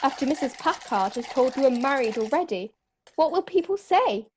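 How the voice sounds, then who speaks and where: A woman's voice: high voice, animated, moderate pace, background noise. A young woman playing a doubtful young wife and hostess in a stage play, questioning and insistent. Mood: puzzled. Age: young adult.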